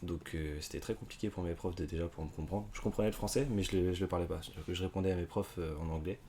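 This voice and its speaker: A man in his twenties, born in Canada and raised in France, telling his story as a podcast guest in a hesitant, unhurried way. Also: low voice, steady tone, warm tone, slight background noise. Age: 20s. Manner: hesitant, many fillers and restarts, unhurried. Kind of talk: casual conversation.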